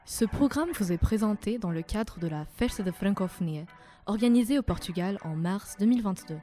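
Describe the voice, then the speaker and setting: A teenage girl co-hosts a student podcast and reads her lines from a prepared script. Her voice is mellow. Faint music plays behind her. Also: medium pitch, expressive, brisk, big-room sound. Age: teens. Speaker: student co-host. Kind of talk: scripted introduction.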